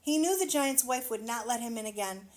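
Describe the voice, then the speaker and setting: A woman with a fairly high voice reads a children's story aloud. She is expressive with the text and adds simple asides, wondering aloud and explaining things to young listeners. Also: fairly quick.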